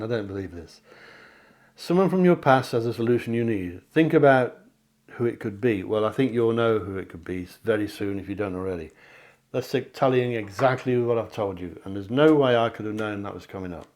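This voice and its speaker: A man's voice: medium pitch, darkish tone. A man giving a card reading straight to the viewer, repeating phrases for emphasis as a psychic host. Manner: repeats phrases for emphasis, addresses listener directly. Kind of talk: tarot reading addressed to viewers. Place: at home.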